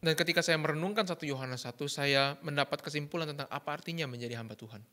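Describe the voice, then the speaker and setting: A man interpreting a sermon live, talking quickly and often restarting with fillers and self-corrections. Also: fairly high pitch, pushed and bright. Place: church.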